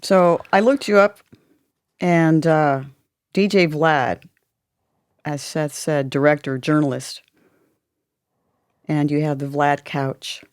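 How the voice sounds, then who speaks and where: A woman's voice: deep voice, fairly even intonation, takes it slowly, pauses often, darkish tone, clean tone, no room sound, studio quality. A woman hosting her own podcast, asking her guest questions with enthusiasm. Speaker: podcast host. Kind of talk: casual interview chat.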